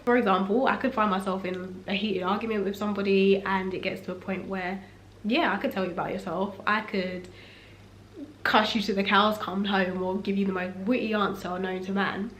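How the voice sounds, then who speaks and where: Young woman, husky from a cough, reflective faith-centred vlog chat, medium pitch, roomy echo with faint background hum. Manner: relaxed, reflective and faith-focused. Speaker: vlogger. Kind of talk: casual sit-down talk. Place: room at home. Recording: echoey room, faint ambience.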